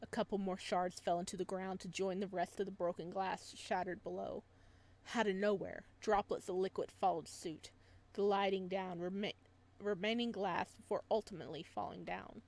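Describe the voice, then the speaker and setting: A woman's voice: mid-range voice, fairly even intonation, moderate pace, gravelly, darkish tone. A woman playing through a visual novel, reading it aloud with dramatic narration, occasional stumbles and casual asides. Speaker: video game playthrough host.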